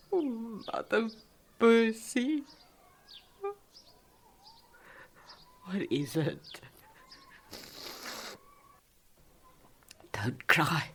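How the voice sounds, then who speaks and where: An ageing South African woman who is down and out. Her speech is slow and rambling, full of muttering to herself that breaks into feisty bursts. It is a lonely, defiant monologue from a radio play. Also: low-pitched, slightly rough, full character voice. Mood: cold, forlorn.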